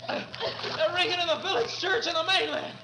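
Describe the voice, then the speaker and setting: An actor playing a desperate, bullying young killer and thief in 1940s radio drama style, barking and insistent. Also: male voice, very high voice, steady tone, normal speed, gravelly, over music. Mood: panicked, shouting. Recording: noisy old 1940s radio recording.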